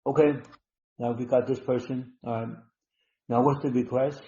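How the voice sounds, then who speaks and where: A man hosting a live online healing session, talking slowly and instructively, repeating words with many ums. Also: mid-range voice, fairly even intonation, mellow. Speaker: webinar host and healer. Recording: dull roomy online call audio.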